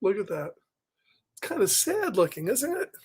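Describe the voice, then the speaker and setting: A man hosting a story time, reading a picture book aloud gently with chatty asides and questions, sometimes dropping almost to a whisper. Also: high voice, huge pitch swings, moderate pace, slight background noise. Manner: gentle, conversational, often asks questions and drops into whispers. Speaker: story time reader and host.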